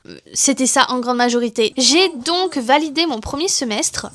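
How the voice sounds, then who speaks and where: A young woman from southwest France talks to her camera in a relaxed vlog, her voice sitting high and bright. She chats loosely, trailing off into fillers and restarting her sentences as she goes. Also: normal speed. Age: 30s. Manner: chatty, many fillers and restarts. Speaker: vlogger. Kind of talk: casual chat to camera.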